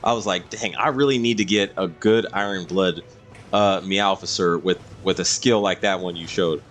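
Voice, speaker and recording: A man's voice: low-pitched, fairly even intonation, normal speed, fairly bright tone, soft background music. A man doing casual live-stream game commentary, explaining things to viewers in a rambling way.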